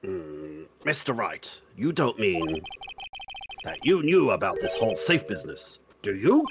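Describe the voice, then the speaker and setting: A man's voice: lowish voice, sing-song, measured pace, background noise. A man voicing a pompous, befuddled old judge in a live fan dub of a courtroom video game, bumbling but solemn. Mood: puzzled, stern. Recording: music behind the voice. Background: game music.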